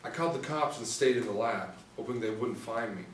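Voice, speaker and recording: A man's voice: mid-range voice, a little fast, lightly acted. Echoey man reading as a hesitant young student witness. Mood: frightened. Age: young adult. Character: scared, reluctant young male student witness. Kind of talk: scripted table read of a TV pilot. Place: small indoor room, seated reading. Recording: echoey room, distant mic, faint noise.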